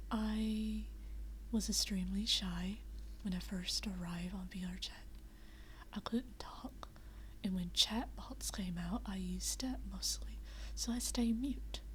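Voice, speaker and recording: A young woman whispering quietly at night in a living room, chatting unscripted with many fillers and restarts. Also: mid-range voice, slight background noise, poor audio. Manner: rambling with frequent fillers and restarts. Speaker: VRChat vlogger. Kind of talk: unscripted casual chat.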